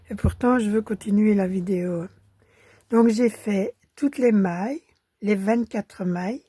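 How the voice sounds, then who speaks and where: A woman with a Belgian French accent, hoarse from a cold, calmly teaching a crochet pattern step by step and counting stitches under her breath. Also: mid-range voice, slow, long pauses, no room sound, clean studio sound.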